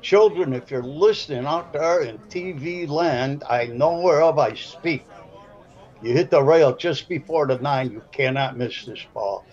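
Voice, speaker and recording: An older man with a South Side Chicago accent rambles through pool commentary in a gruff, folksy way. He drifts into storytelling between shots. The recording sounds dull and lo-fi. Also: fairly high pitch, unhurried, a little mellow. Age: 70s.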